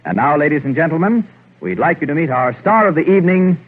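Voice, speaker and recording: An American man as a 1940s radio announcer, reading a sponsor's script in a formal, measured delivery. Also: fairly high pitch, sing-song, very noisy. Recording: narrow, muffled 1940s radio recording.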